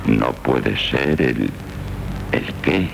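An actor playing a grave, paternal medieval king, stately and deliberate in a 1970s Spanish radio drama. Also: extremely low pitch, lively intonation, creaky, full character voice. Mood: measured authority. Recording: noisy 1970s radio tape.

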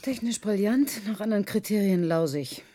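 An actress playing a brisk, blunt female scientist in a German radio play, with a dry, matter-of-fact manner. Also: medium pitch, animated, mellow, close to an everyday voice. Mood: dry disapproval. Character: brisk, blunt female scientist and team leader, worried mother.

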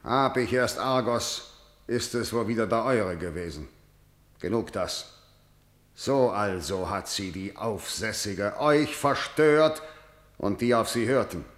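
A man's voice: lowish voice, takes it slowly. An actor playing a harsh, domineering ruler who scorns his elders, commanding and scornful in classical verse. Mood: cold. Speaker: king. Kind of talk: classical verse radio drama. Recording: roomy studio echo.

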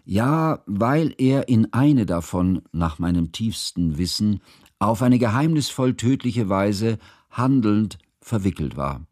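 A low-voiced, genteel schoolmaster narrator. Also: male voice, animated, a little mellow, no room sound, clean studio sound. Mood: grave reminiscence. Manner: measured, circumlocutory, earnest. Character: genteel, pedantic, good-natured schoolmaster recalling the past. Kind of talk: literary first-person narration in radio drama.

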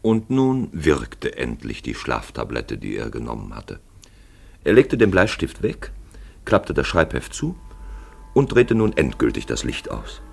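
An actor playing a grave third-person storyteller in literary radio-play narration. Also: male voice, very deep voice, huge pitch swings, a little slow, gravelly, husky, heavily acted. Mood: calm, winding down.

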